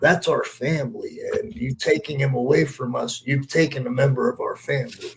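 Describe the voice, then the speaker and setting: A man's voice: medium pitch, normal speed, creaky, a little hiss or hum, low-quality recording. Guy on a podcast, mid voice, opinionated, really earnest, repeats stuff to hammer it. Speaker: wrestler and panelist.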